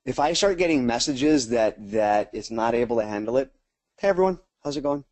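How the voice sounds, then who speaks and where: A man's voice: mid-range voice, lively intonation, brisk, a little mellow, no echo. Chatty American man, dry close sound. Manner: informal, chatty, addressing attendees directly. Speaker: sales team trainer hosting a webinar. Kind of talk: casual webinar presentation.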